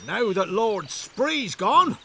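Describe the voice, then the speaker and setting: A man's voice: high voice, sing-song, slow pace, background noise, heavily acted. A man voicing a suspicious, cocky, dim village guard in a comic fantasy video game, talking in a colloquial way. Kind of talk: comic game character dialogue.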